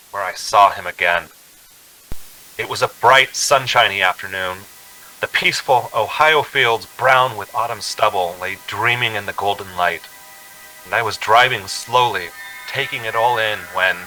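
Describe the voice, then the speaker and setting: A man playing a haunted, increasingly desperate driver in a radio drama, brooding and halting as he tells his story. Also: lowish voice, sharp tone, rough recording. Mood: uneasy, reflective. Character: haunted, increasingly desperate male motorist narrating his journey. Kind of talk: dramatic radio play narration. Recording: music behind the voice.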